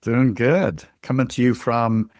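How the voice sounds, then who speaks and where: A man's voice: mid-range voice, fairly even intonation, measured pace, a little mellow, dry recording, clean studio sound. A middle-aged man from Ireland with an Irish accent, giving a rambling interview answer with frequent restarts and fillers. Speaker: guest.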